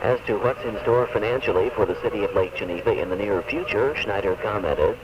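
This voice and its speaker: A man's voice: lowish voice, fairly quick. A man reading scripted local news as a radio newscaster. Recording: distant, muffled, noisy AM radio recording.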